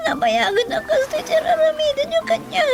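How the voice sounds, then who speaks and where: High, plaintive frightened niece. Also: female voice, sing-song, fairly quick, loud music behind the voice. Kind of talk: radio drama acting.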